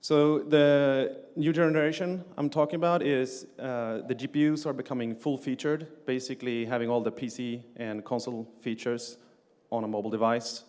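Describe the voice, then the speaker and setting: Middle-aged man, conference speaker, filler-heavy technical delivery, mid pitch, echoing hall. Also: flat delivery, moderate pace, darkish tone, clean tone. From Sweden. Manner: frequent "uh" fillers and restarts, plain explanatory delivery. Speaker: conference speaker, game engine developer. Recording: long reverb of a lecture hall.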